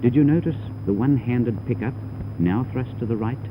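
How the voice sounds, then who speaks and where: A man's voice: medium pitch, normal speed, narrow, tinny audio. A man giving formal, instructive scripted narration for a 1930s training film, on a noisy old soundtrack. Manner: formal, didactic.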